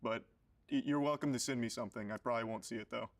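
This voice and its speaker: A man in his twenties from Florida, answering interview questions in a flat, deadpan monotone. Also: mid-range voice, fast, recorded from a distance, slight background noise. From Florida, USA. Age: 20s.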